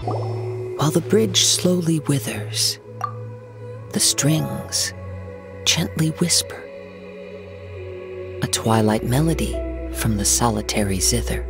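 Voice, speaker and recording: An actor playing a gentle, poetic young wandering samurai in a video game, measured and formal in his words. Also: mid-range voice, creaky, over music. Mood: wistful, reciting. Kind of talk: video game cutscene dialogue.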